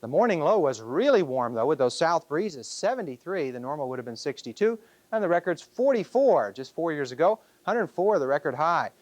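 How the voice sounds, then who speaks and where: TV weather man, somewhat high pitch, chatty presenting style, very dry studio sound. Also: big pitch swings, brisk, darkish tone, no echo, muffled recording. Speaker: TV weather forecaster. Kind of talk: ad-libbed weather report, conversational presenting. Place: TV news studio.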